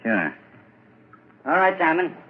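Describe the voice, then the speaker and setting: A man acting a plain, deferential police constable in a 1940s radio mystery, informal and halting, with a husky voice. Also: on the high side, very animated, slight background noise. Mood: matter-of-fact. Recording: dull lo-fi old radio broadcast.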